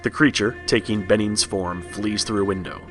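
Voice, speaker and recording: A man's voice: on the low side, steady tone, normal speed, fairly bright tone. A man narrating a horror tale as a scripted read-along storybook. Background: music.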